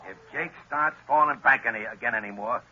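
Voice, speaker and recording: A gruff scheming gang boss. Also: male voice, mid-range voice, brisk, pushed and bright. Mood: commanding. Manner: gruff, commanding. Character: scheming male gang boss Duke, cold. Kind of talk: 1940s radio acting. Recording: dull lo-fi old radio recording with hiss.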